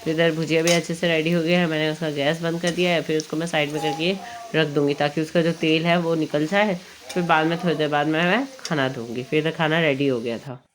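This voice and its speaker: A young woman, hoarse from a cold, chatting casually as she narrates her home cooking vlog. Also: deep voice, steady tone, fairly quick, a little hiss or hum. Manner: chatty, homely, meandering. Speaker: cooking vlogger.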